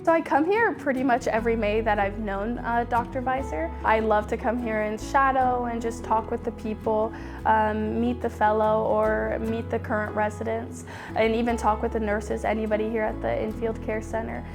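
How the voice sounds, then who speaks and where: Eager young woman, higher voice. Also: fairly even intonation, normal speed, creaky. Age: young adult. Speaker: undergraduate student. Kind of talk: interview testimony. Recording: music bed underneath.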